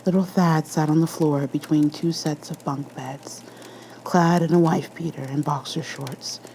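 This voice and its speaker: A woman's voice: deep voice, steady tone, unhurried, mellow, slight background noise, rough recording. A woman reading a scripted horror story as an ASMR narrator.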